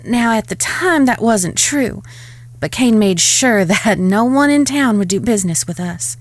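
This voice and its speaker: A woman playing a high-voiced Southern ranch woman. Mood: bitter, composed. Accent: Southern American. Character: Miss Kitty, proud, wronged American Old West ranch woman. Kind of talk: dramatic Western audio drama acting.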